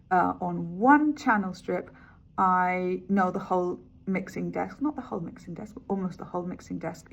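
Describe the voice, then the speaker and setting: A woman's voice: medium pitch, vocal fry, husky. A woman raised in Colombia whose first language is Spanish, talking candidly and thoughtfully about her music production studies, with frequent "like" and "you know". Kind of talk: reflective testimonial.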